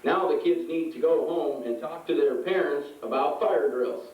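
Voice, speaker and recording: A man's voice: fairly high pitch, moderate pace, natural voice. A man voicing an earnest puppet sidekick in a children's fire-safety show. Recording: muffled phone-like sound, echoey room, far off.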